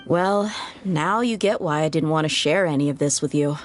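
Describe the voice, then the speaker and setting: A woman's voice: deep voice, moderate pace, slight background noise, natural voice. A woman voicing a tough, loyal desert mercenary in a video game, composed and respectful. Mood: composed, sincere.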